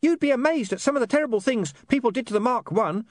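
A man voicing a nervous little male imp that lives inside a gadget, with a squeaky, babbling manner. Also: lively intonation, quick pace, no echo, studio quality. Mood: apprehensive.

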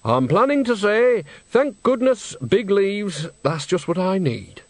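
Old witch woman, cheeky and chatty, voiced by a man in a high squeaky register, storybook style. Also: big pitch swings, takes it slowly, no echo, full character voice.

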